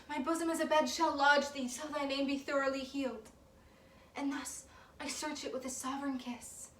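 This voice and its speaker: A woman's voice: sits high, fairly even intonation, normal speed, lightly acted. A woman acting a passionate, lovesick young noblewoman in a theatrical Shakespearean stage monologue, dramatic and emphatic, lingering on words. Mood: tender, rueful. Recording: roomy, distant mic, faint background noise.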